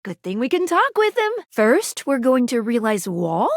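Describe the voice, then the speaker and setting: A woman voicing a chipper, helpful young female magical guide in a video game, with a perky, playful and expressive manner. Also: on the high side, normal speed, no echo, clean studio sound. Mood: helpful, informative. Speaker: voice actor playing a guide character in a dating simulator game. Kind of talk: animated video game character dialogue.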